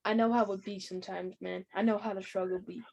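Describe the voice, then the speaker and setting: A woman's voice: mid-range voice, fairly even intonation, fast, gravelly, a little mellow. A woman hosting an interview, chatty and slangy, laughing easily with her guest. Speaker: interview host. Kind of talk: casual interview.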